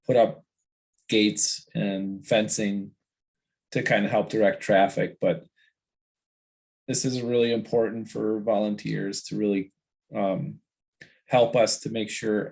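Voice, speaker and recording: Man, lowish gravelly voice, earnest, halting, informal meeting talk. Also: fairly even intonation, a little mellow. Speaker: volunteer meeting participant.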